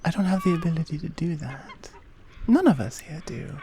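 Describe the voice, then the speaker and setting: A woman playing a regal, patient Amazonian queen, proud and wary of humans, in an intimate ASMR roleplay with measured, breathy half-whispers. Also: low-pitched, animated, a crowd in the background. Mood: grave, knowing.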